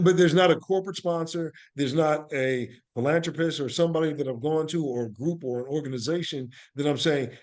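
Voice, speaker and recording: A man giving reflective interview answers as a guest, speaking earnestly and motivationally, repeating phrases for emphasis. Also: a little high. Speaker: podcast guest, actor and playwright.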